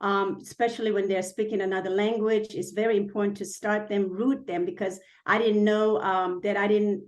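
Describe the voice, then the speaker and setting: A woman's voice: medium pitch, level tone, normal speed, darkish tone. A woman from Korea, Korean her first language, a city councilwoman giving an earnest campaign speech with many fillers and restarts.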